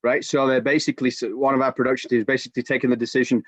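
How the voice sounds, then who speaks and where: A man in his forties, a soccer commentator, telling an animated story with many restarts on a video-call podcast. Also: medium pitch, racing, no echo. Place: home room with bookshelves. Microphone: video call webcam. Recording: dull, dry video-call audio.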